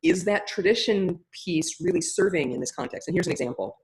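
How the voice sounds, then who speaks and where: Chatty woman with a big, loud voice. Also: lowish voice, animated, a little fast, poor audio. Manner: chatty, many restarts and fillers. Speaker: ritual facilitation teacher. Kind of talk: webinar teaching, answering a question.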